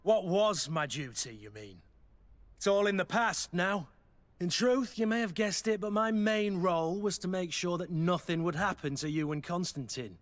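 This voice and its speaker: Man acting brooding soldier, higher-set voice. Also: moderate pace, close to an everyday voice. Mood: reflective. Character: gruff, battle-worn male mercenary master of arms, guilt-ridden, loyal. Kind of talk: video game dialogue acting.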